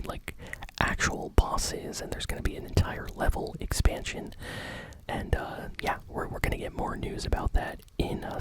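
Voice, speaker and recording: A woman's voice: very high-pitched, low-quality recording. A woman hosting a casual gaming news show in ASMR style, whispering in an enthusiastic, conversational, unscripted way. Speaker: host of a gaming news channel.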